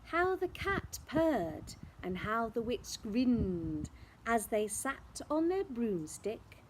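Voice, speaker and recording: A woman storyteller reading a picture book aloud in an expressive, theatrical way, drawing out words. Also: fairly high pitch, noisy background. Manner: theatrical, with drawn-out words and sound effects. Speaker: children's storyteller.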